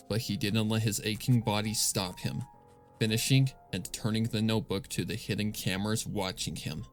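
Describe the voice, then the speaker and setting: A man reads dark fan fiction in a lowish, creaky voice. His scripted narration is grave and deliberate, set over faint music. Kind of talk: scripted dramatic horror narration.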